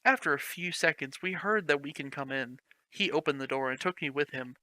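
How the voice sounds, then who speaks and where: A man's voice: a little high, fairly quick, bright, forceful tone, dry recording. A young man reading a fanfic aloud as a comedy host, sarcastic and swearing, mocking the text as he goes.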